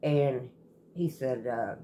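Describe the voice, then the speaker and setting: Halting woman storyteller, noisy recording. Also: very deep voice, mellow. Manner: halting, rambling, often circling back and repeating. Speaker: storytelling host. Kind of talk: casual storytelling, retelling a viewer's account.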